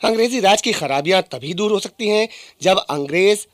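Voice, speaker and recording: A man acting an earnest Indian nationalist statesman in a radio docudrama, speaking in a measured, declamatory way. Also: sits high, lively intonation, no room sound, clean studio sound. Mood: firm, earnest.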